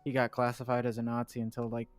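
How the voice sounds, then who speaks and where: Man, average pitch, casual joking chat, online call, background music. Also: completely flat delivery, fast talker.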